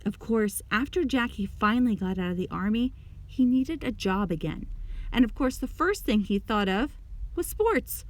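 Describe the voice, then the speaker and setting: A woman's voice: fairly high pitch, animated, normal speed. Woman reading a children's storybook aloud. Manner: clear storytelling with questions to young listeners. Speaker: storybook reader. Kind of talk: expressive children's read-aloud narration.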